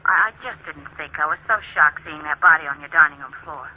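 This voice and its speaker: An actress playing an earnest, anxious, affectionate sweetheart in a 1940s radio crime drama, as if on the telephone. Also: female voice, low voice, brisk, pushed and bright, full character voice. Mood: apologetic. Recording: thin, muffled old radio telephone voice.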